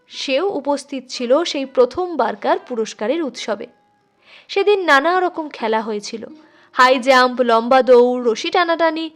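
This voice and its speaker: A woman narrating a literary short story expressively, as a storyteller addressing listeners. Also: high voice. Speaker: storyteller, channel host.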